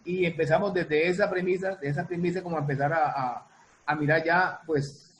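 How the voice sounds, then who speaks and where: A man's voice: fairly high pitch, fairly even intonation, a bit bright, a little hiss or hum, poor audio. A man explaining his work casually as an interview guest, repeating and rephrasing as he goes. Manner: halting, repeats and reformulates. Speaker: guest, music producer and sound designer.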